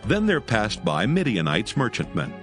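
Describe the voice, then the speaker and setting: Low-voiced man narrating scripture over music. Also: huge pitch swings, moderate pace, a bit bright. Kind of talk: dramatic scripted Bible reading.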